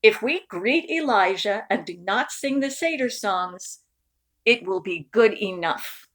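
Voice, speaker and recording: A woman voicing a cheerful, agreeable older uncle in a storybook, with emphatic, drawn-out exclamations. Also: medium pitch, animated, a bit bright. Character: Uncle Murray, cheerful agreeable older man hosting family Seder.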